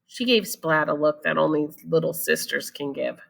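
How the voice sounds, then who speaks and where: A woman's voice: sits low, normal speed, vocal fry, fairly bright tone. A woman storyteller reading a children's picture book expressively and explaining it to young listeners. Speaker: read-aloud storyteller.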